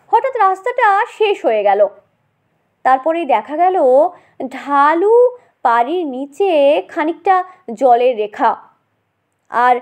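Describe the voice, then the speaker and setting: A woman narrating a comic short story in an expressive, theatrical manner. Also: high voice.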